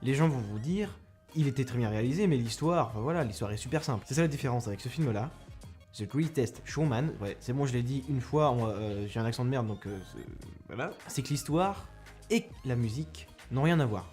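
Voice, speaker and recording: A man's voice: mid-range voice, animated, brisk, a little mellow, faint music behind. A young man giving a casual spoken film review, rambling and self-mocking with many restarts, close to a microphone.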